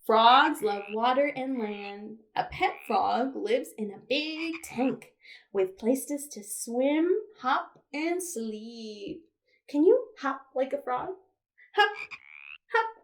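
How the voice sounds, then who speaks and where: A woman's voice: sits high, animated, takes it slowly. A woman leading a toddler storytime as a children's librarian, speaking simply to small children and reading aloud with questions and playful touches. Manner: simple child-directed delivery with playful animal sounds.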